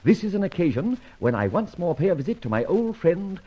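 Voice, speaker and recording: Suave teasing gentleman detective, husky, voice swoops a lot, muffled old radio. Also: sits high, very animated, a little fast, exaggerated voice. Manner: dry, teasing. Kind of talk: 1940s radio acting.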